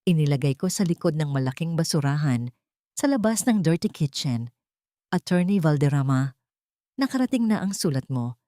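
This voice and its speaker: A woman with a Filipino accent voicing a fearful, loyal elderly cook who speaks in a hushed, urgent way. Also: sits low, lively intonation, moderate pace, no echo, studio quality.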